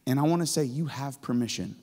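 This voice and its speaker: A man in his forties, a professor giving an energetic chapel sermon on stage with a handheld mic, excitable and booming, full of storytelling. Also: fast. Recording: hall reverb.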